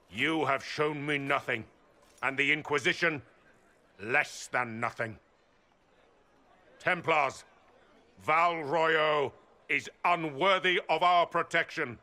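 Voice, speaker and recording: An actor playing an arrogant, contemptuous templar commander in a fantasy game, speaking with haughty scorn. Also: male voice, on the high side, bright, forceful tone. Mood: proud, commanding. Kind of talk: fantasy game cutscene acting.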